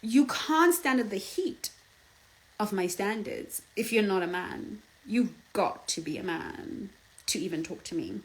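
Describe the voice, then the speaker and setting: A woman from Zimbabwe, Shona her first language, speaks with a Zimbabwean accent as a relationship coach. She talks in an emphatic, lively way, laughing often and asking "okay?" and "do you understand?". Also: medium pitch, measured pace, rough recording. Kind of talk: casual advice talk.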